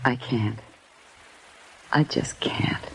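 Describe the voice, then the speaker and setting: A woman's voice: drawn-out words, slight background noise, low-quality recording. An actress playing a nervous young waitress in an old radio drama, stammering and hesitant as she hides what she knows. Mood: pleading. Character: nervous young woman diner waitress hiding secrets. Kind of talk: 1950s radio drama acting.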